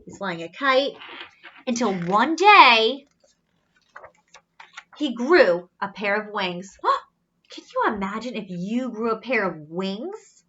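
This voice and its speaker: High, animated woman reading a storybook. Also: lo-fi sound, a little hiss or hum. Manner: expressive, questioning, with gasps and drawn-out emphasis. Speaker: children's storybook reader. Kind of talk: animated read-aloud with simple asides to children.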